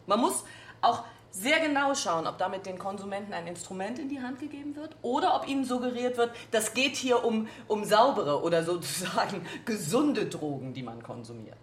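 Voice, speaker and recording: An actress playing a cautious, evasive state secretary who gives a wordy, bureaucratic statement in a radio drama committee scene. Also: female voice, medium pitch, expressive, moderate pace, natural voice. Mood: guarded. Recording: roomy, faint background noise.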